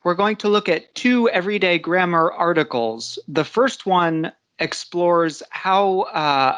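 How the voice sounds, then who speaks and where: A man teaching an online English lesson, explaining step by step in an unhurried way with frequent "uh"s over a video call. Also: fairly high pitch, a bit bright. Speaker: guest teacher.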